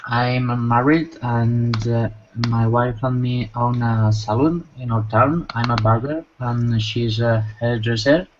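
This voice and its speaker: A man's voice: mid-range voice, fairly even intonation, slow pace, few pauses. A man from Spain with a Spanish accent, introducing himself politely in simple learner English on a video call. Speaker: student. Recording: muffled call with clear background noise.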